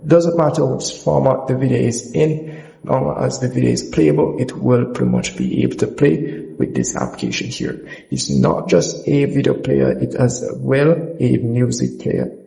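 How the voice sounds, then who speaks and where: A man's voice: mid-range voice, animated, normal speed, gravelly, warm tone, low-quality recording. A man presenting a casual, unscripted app walkthrough in a rambling, repetitive way.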